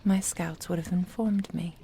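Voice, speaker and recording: A woman voicing a possessive, soothing, long-lived witch in a whispered ASMR roleplay, coaxing and doting in a breathy voice. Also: lowish voice, steady tone, unhurried, dark tone, slight background noise.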